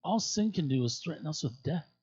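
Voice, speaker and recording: Husky man reading scripture aloud. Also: on the high side, expressive, fairly quick, darkish tone, no echo, dull audio. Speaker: scripture reader at a church.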